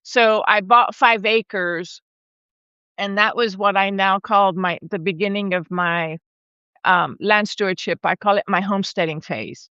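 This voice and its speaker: Bright, clear-voiced woman rancher. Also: medium pitch, steady tone, a little slow, no room sound, lo-fi sound. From born in Argentina, raised in Brazil. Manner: warm, chatty, laughs often, frequent restarts. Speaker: regenerative rancher and holistic management educator. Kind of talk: casual conversational storytelling. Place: home, live video call. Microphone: video call microphone.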